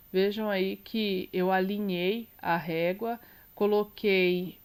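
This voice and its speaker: Slow, congested Brazilian woman teaching sewing. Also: mid-range voice, clean tone. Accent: Brazilian Portuguese. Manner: calm, explains while working, small self-corrections. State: congested, nasal from a cold. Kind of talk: step-by-step sewing tutorial.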